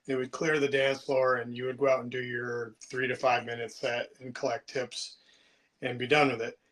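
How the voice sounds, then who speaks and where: A man in his late fifties answering interview questions in a relaxed, chatty way, often pausing with ums and restarting his sentences. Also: mid-range voice. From United States. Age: 50s. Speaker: interview guest. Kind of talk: casual interview chat.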